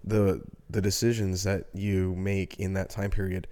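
A man's voice: low voice, little pitch movement, a little slow, dark tone. A man in his early forties talking casually and reflectively as co-host of a podcast discussion. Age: early 40s.

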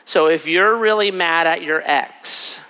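Raspy-voiced pastor in a reverberant hall. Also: male voice, a little high, bright, forceful tone. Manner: conversational, acts out quick asides. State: raspy from a cold trip. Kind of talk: sermon.

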